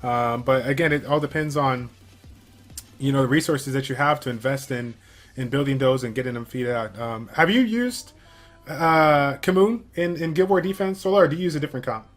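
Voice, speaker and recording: A man hosting a casual game livestream, reading viewer questions from chat and answering in a relaxed, filler-heavy way. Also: mid-range voice, normal speed, soft background music. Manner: chatty, many fillers, reads viewer questions aloud. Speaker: stream host.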